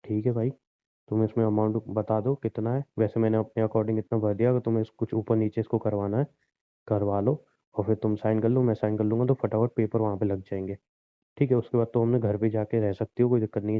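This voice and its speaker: A man's voice: lowish voice, little pitch movement, fairly quick, lightly acted. Man acting as resigned, pleading husband, tinny phone sound. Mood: calm, persuading. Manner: pleading, insistent, reasoning. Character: injured, resigned husband pressing his estranged wife to divorce. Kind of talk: naturalistic Hindi relationship audio drama.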